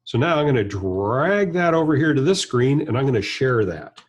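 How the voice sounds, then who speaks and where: A man's voice: medium pitch, sing-song, moderate pace, muffled recording. An American man in his fifties giving a hands-on product tutorial as a trainer, in a plain-spoken, conversational, explanatory way. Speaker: trainer and presenter. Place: indoor studio or workshop with backdrop and bench.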